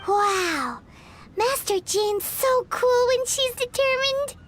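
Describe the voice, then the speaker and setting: A woman voicing a small, chirpy fairy companion girl in a video game, excitable and talking about herself in the third person. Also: extremely high pitch, slow pace, slight background noise, exaggerated voice. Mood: amused, admiring. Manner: chirpy, exclaiming, refers to self in third person. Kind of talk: video game character acting. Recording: music playing behind the voice.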